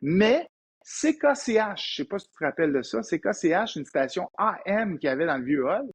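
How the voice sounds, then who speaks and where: A man in his fifties with a Québécois accent, an entrepreneur guest telling lively anecdotes in a casual interview. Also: sits high, brisk, slightly rough. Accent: Québécois French. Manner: animated anecdotal storyteller, frequent restarts.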